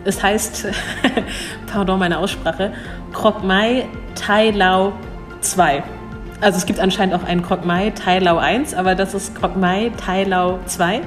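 A woman's voice: mid-range voice, fairly even intonation, measured pace. Young woman interviewee, thoughtful and hesitant, laughs easily, faint music under her. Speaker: cultural attaché at an embassy. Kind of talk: interview answers.

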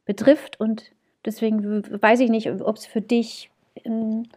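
A woman's voice: fairly high pitch, flat delivery, slow pace, a little mellow. A woman, hosting a podcast in casual, chatty talk, often laughing at herself. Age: 30s. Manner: chatty and laughing, self-mocking. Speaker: podcast host. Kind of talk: casual chat.

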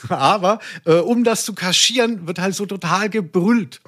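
A man's voice: high voice, a little slow, fairly bright tone, a little hiss or hum. A man co-hosting a podcast, discussing audio plays casually in a wordy, hesitant way. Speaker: podcast co-host.

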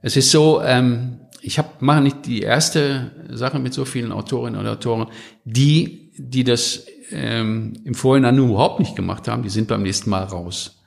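A man's voice: mid-range voice, normal speed, creaky. A man from Westphalia speaking as an interview guest in a casual, blunt, colloquial way. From Westphalia, Germany. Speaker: podcast guest, book publisher.

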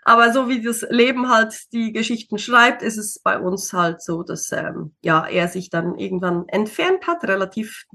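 A woman's voice: medium pitch, animated, moderate pace, creaky, few pauses, bright, forceful tone. A woman, talking casually as an interview guest, with many fillers and easy laughs. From Switzerland. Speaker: interview guest, single mother.

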